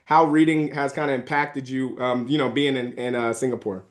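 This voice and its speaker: A man hosting a podcast interview, talking in a relaxed, casual way with many fillers. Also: mid-range voice, normal speed. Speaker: podcast host.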